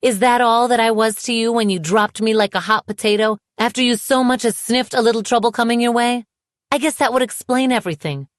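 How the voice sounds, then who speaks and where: A woman's voice: fairly low pitch, animated, normal speed, hardly any pauses, no echo, close to an everyday voice. A wounded, indignant young woman from an audiobook romance, acted by a woman narrator. She speaks with emphatic, charged emotion. The recording is close and dry. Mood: bitter, furious.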